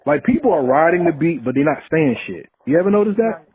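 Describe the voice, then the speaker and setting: A man's voice: fairly high pitch, big pitch swings, quick pace, a little mellow, a little hiss or hum, low-quality recording. A man hosting a hip-hop podcast, asking questions in an earnest, preachy way. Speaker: podcast host. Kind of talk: casual interview.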